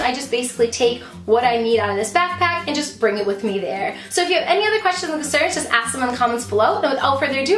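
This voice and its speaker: High-voiced, slightly hoarse woman vlogger. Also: reverberant. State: losing voice from talking. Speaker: vlogger, fifth grade teacher. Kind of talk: casual chatty product show-and-tell to camera.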